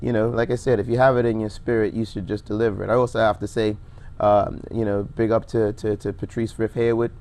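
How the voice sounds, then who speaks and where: A young man with a baritone voice, a rapper talking casually and reflectively as a guest, with many restarts. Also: level tone, fairly quick, hardly any pauses, a little mellow. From Bermuda. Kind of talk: casual interview chat.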